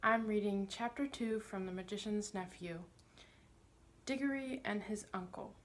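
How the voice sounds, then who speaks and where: A woman's voice: medium pitch, measured pace. A woman narrating a children's novel, reading it aloud as a storybook reader.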